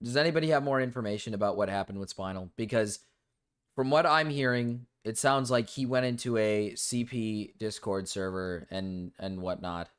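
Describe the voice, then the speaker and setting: A man's voice: lowish voice, moderate pace, smooth. Irritated young man ranting to chat. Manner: rambling, frequent restarts and fillers, irritated. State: voice going low and cracking. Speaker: streamer. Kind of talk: casual livestream rant to chat.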